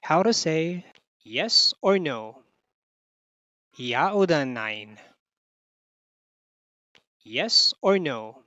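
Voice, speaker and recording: A language teacher reading a scripted phrase drill, repeating each phrase in an even, measured way. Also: medium pitch, no room sound.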